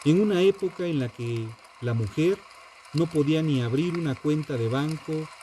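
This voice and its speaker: A narrator reading a scripted true-crime account in a measured, dramatic way, in short punchy phrases. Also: mid-range voice, warm tone, slight background noise, poor audio.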